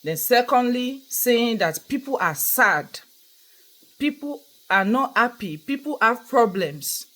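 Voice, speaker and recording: A woman's voice: on the high side, animated, slow, fairly bright tone. A young Nigerian woman with a Nigerian accent, vlogging in a casual, earnest storytelling monologue and repeating points for emphasis.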